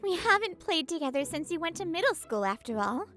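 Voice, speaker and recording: High-pitched, polite college girl, played by a woman. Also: big pitch swings, brisk, a bit bright, faint music behind, full character voice. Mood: humble, composed. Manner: polite, reserved. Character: cool, modest, beautiful college freshman girl working at a café. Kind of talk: manga story character acting.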